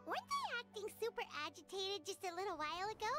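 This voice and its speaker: A woman voicing a small, excitable girlish fairy companion who chatters in a chirpy, easily alarmed way, over game music. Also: very high voice, quick pace, heavily acted. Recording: game cutscene with music behind.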